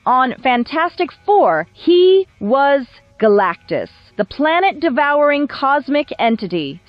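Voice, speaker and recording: Casting: female video essay narrator, wry and polished, lively high voice, recorded dry over background music. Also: no room sound, muffled recording. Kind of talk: scripted documentary narration.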